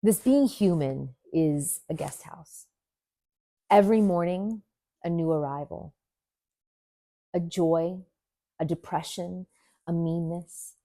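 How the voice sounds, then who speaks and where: A woman teaching yoga nidra, leading a guided meditation in a gentle, soothing manner with deliberate pauses. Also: lowish voice, no room sound, studio quality.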